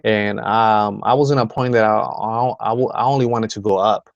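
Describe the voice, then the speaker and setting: A man's voice: on the low side, fairly even intonation, normal speed, no room sound, dull audio. A man from the Dominican Republic hosting a motivational video call, talking in a rambling way with many fillers and restarts. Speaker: host.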